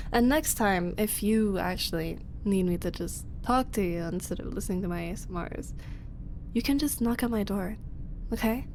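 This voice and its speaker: A young woman voicing a shy roommate who secretly runs an ASMR channel, speaking softly in half-whispers with frequent small laughs and halting pauses. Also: mid-range voice, a little hiss or hum, natural voice. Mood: tender. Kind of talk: ASMR audio roleplay.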